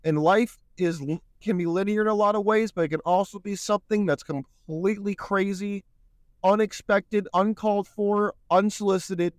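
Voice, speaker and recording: A man's voice: high-pitched, steady tone, moderate pace, dry recording, studio quality. A man hosting a solo podcast, speaking earnestly and a bit preachily while he is losing his voice. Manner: earnest, preachy, repeats "my friends". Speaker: podcast host.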